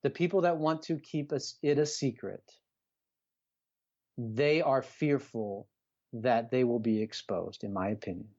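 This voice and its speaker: Middle aged guy on a podcast, bit muffled audio, average pitch, earnest coach type pep talk, really leans on his points. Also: measured pace, darkish tone. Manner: earnest, emphatic, repeats phrases, rhetorical questions. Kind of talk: conversational motivational talk.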